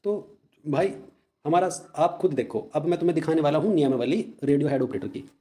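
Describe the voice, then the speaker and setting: A man's voice: a little high, a little fast, mellow. A young man with a North Indian accent talks informally to viewers, explaining in an insistent, colloquial way and repeating his points for emphasis. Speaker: exam-news channel host and candidate activist.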